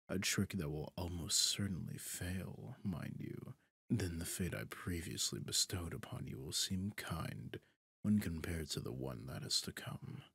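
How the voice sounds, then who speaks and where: A man playing a smug, possessive demon deal-maker in an ASMR roleplay, husky and breathy, often near a whisper, theatrical and taunting. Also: deep voice, no echo, studio quality, exaggerated voice. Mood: intrigued, threatening. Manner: theatrical, taunting, slow and deliberate. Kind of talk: ASMR roleplay audio drama.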